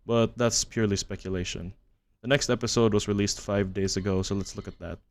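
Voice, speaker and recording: A man narrating a horror commentary as a video essayist, measured and matter-of-fact, reading from a script. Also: fairly low pitch, steady tone. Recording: music under the voice-over. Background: background music.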